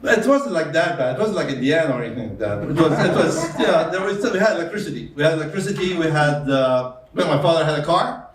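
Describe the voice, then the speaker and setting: A male comedian with a foreign accent telling deadpan stories on stage, hesitating often with fillers. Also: a little high, fast talker, a little hiss or hum. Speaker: stand-up comedian. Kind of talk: stand-up comedy set. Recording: roomy club sound from a distance. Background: audience laughter.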